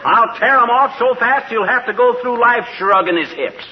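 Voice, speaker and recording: An actor playing a blustering, boastful, middle-aged husband in a 1940s radio comedy. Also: male voice, extremely high pitch, normal speed. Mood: jealous bluster. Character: blustering, boastful, jealous husband, teller of tall tales. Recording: muffled, very noisy 1940s radio recording.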